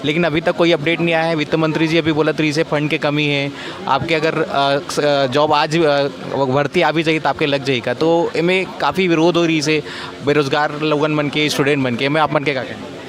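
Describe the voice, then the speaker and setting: A man's voice: on the high side, fairly even intonation, moderate pace, a crowd in the background. A man with a Chhattisgarhi accent working as a field reporter, asking questions in an informal on-the-street news style.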